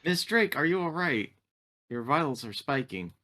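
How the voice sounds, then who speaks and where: A man voicing a polite, literal female android assistant in a tabletop game, speaking in a calm, formal, measured way. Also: a little high, voice pushed up. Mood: concerned.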